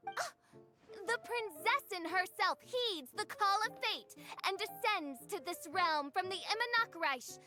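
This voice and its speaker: A woman's voice: very high voice, lively intonation, measured pace, pushed and bright, faint music behind, exaggerated voice. A woman voicing a grandiose teenage self-styled princess in an anime game, declaiming in a pompous, florid, archaic manner. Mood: defiant.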